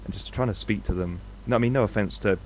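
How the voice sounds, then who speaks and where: A man from Scotland without a Scottish accent, talking excitedly and swearing in a casual podcast chat. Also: fairly low pitch, fast, a little hiss or hum, low-quality recording. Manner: animated, swears, repeats himself. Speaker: podcast co-host. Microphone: podcast microphone.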